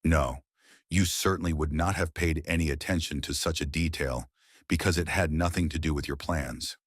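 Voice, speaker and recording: A man voicing a shrewd, confident male detective who questions in a sharp, probing, sarcastic way, in an audiobook. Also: low voice, no room sound, clean studio sound. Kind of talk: audiobook character voice.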